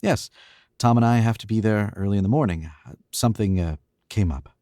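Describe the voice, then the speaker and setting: A man playing a deep, smug young host. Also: brisk, dark tone, dry recording, clean studio sound, lightly acted. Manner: glib, breezy, smug. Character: smug, cocky young man hosting a party. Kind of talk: old-time radio drama acting.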